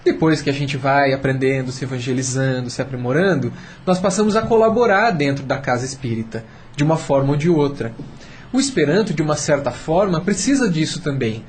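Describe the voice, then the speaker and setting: A man's voice: fairly high pitch, expressive, a little fast. A man with a Brazilian accent speaking as a radio panel guest, explaining and urging emphatically, repeating phrases to build his point. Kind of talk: persuasive, explanatory discussion. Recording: clear background noise.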